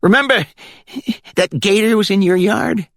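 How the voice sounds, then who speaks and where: A man's voice: high voice, unhurried, dry recording, clean studio sound, pitched above the performer's own voice. A man voicing a sly, wary country caller with a rural Florida accent in an audiobook, insinuating as he bargains.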